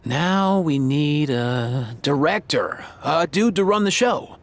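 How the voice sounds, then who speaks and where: Young male game character: lightish pitch, earnest, casual, highly animated delivery. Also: slow pace. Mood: earnest, persuasive. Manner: casual, expressive, explanatory. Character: earnest, kindly young male schemer rallying friends. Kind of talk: video game voice acting. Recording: light music behind.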